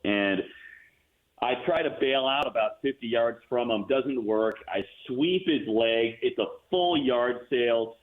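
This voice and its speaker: A man with a fairly low voice talks sports as a broadcaster guest. He is fluent and enthusiastic in a conversational way. The sound is thin and phone-like. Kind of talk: conversational sports talk interview. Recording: tinny narrow phone-like line.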